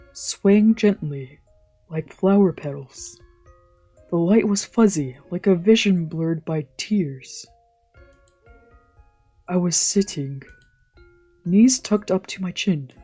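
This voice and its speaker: Mellow streamer narrating over game music. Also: mid-range voice. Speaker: streamer reading a visual novel aloud. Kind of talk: let's play read-aloud narration with casual asides. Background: visual novel background music.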